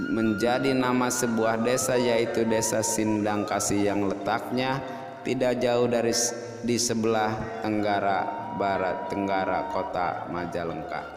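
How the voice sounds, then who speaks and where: A man tells a folk tale in a low, unhurried voice. He lingers on key words and leaves dramatic pauses. The recording swims in long reverb, with music playing behind him. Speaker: storyteller and host. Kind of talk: scripted folk-tale narration.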